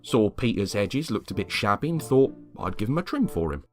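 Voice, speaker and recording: A man's voice: lowish voice, sing-song, fairly quick, soft background music, voice pushed up. A man voicing an irritable, sleep-deprived man with a curt, evasive manner in a read-aloud story. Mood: feigned casual. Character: irritable, sleep-deprived man plotting against his neighbour.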